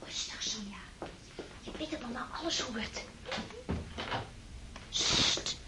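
An actress playing a giddy, affectionate young countess in a radio play, talking breathlessly with a giggle. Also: female voice, on the high side, slow, whispered. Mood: hushed. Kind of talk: radio drama acting.

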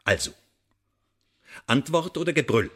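An actor playing a sardonic, mock-polite secret service interrogator in a radio drama, speaking with threatening courtesy. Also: male voice, medium pitch, animated, unhurried. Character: smug, menacing male interrogator of a secret service. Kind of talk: German radio drama acting.

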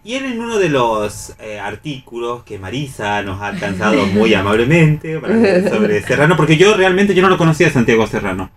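Man, Argentine accent, mid-pitched voice, reflective and discursive, casual radio host. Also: big pitch swings, a little fast, hardly any pauses.

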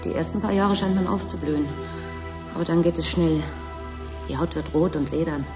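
A woman's voice: sits low, moderate pace, music in the background, close to an everyday voice. An actress playing a bored, needy young woman at a desolate station in a German radio drama, restless and resigned.

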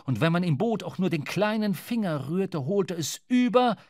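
A male actor playing a reflective sailor who narrates a whaling adventure in measured literary style for a radio drama. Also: high voice, lively intonation, darkish tone, no echo, clean studio sound. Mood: intense, grave.

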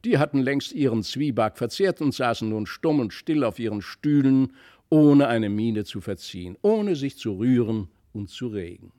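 Male narrator for classic fairy tales, middle register, expressive literary storytelling. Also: mid-range voice, moderate pace. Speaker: narrator, audiobook reader.

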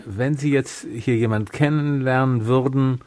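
An actor playing a modest, easygoing older pensioner man, speaking haltingly in an improvised radio drama. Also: medium pitch, fairly even intonation, darkish tone, close to an everyday voice. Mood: tentative, candid.

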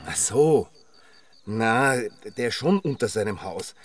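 An actor playing a cagey, gossipy village chronicler in a radio drama, with an Austrian accent and a cautious, confiding manner. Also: male voice, lowish voice, lively intonation, takes it slowly, distant mic.

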